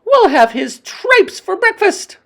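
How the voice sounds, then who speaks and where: A woman voicing a frenzied crowd of female witches, screaming in high, shrill voices. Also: big pitch swings, takes it slowly, exaggerated voice.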